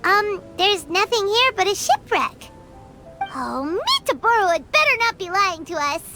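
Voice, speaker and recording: A woman voicing a tiny, childlike fairy companion in a video game, squeaky, perky, feisty and quick to get indignant. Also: very animated, heavily acted. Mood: doubtful. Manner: perky, indignant, refers to self by name. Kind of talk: cartoonish video game character acting. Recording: game music under the voice. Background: video game music.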